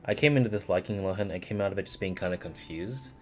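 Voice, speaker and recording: A man livestreaming a game, chatting casually in a rambling, halting way as he searches for words. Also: deep voice, darkish tone, soft background music. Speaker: game streamer. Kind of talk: casual livestream commentary, replying to chat.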